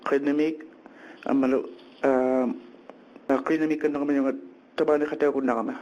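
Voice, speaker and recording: Man, flat monotone delivery, panel speaker, muffled lo-fi recording. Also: mid-range voice, a little fast. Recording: dull lo-fi conference recording.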